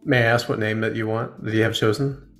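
A man's voice: lowish voice, fairly even intonation, fast talker. A man in his forties hosting a casual podcast interview, playful and encouraging as he asks questions. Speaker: podcast host. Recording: roomy echo with faint music.

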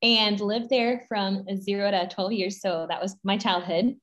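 A woman's voice: medium pitch, steady tone, unhurried, a bit bright. Bubbly woman who laughs a lot. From Guatemala and Mexico. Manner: bubbly, laughs often, rambling asides. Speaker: podcast guest. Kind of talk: casual interview chat.

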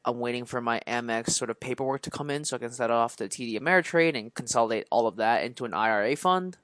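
A man's voice: medium pitch, fast, fairly bright tone, dry recording. Chatty man in his twenties, dry close sound. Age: 20s. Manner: chatty, rambling with restarts and laughs. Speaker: podcast co-host. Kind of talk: casual chat.

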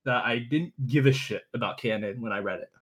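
A man chatting casually as a podcast guest, halting and restarting, swearing in exasperation. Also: medium pitch, a bit bright, breathy edge. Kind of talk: casual chat.

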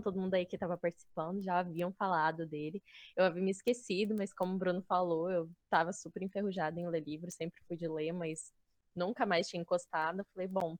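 A woman's voice: on the low side, a little mellow, no room sound. A young woman with a Brazilian accent chatting casually and bluntly, with a playful edge, as a student club member. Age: young adult. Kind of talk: casual chat.

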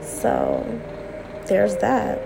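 A woman's voice: medium pitch, wildly swooping pitch, drawn-out words, vocal fry, background noise. A young woman hosting a personal podcast, talking candidly and repeating herself as she vents late at night while getting over a cold. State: recovering from a cold. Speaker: podcast host.

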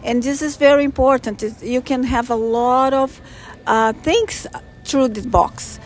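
A woman from Brazil with a Brazilian Portuguese accent, a church volunteer coordinator answering interview questions in an earnest, halting way. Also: sits high, darkish tone, faint music behind. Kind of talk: podcast interview.

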